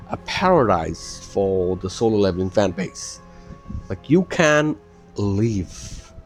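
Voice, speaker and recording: A man whose first language is not English, commenting on gameplay casually in a rambling way full of "like". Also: mid-range voice. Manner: rambling, filler-heavy, enthusiastic.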